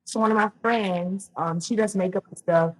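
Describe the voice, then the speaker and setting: Podcast guest: woman, 30s, husky tone, rambling and conversational. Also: medium pitch, moderate pace, low-quality recording. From Louisiana, US. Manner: chatty, animated storyteller with many ums and restarts. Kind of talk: casual storytelling interview.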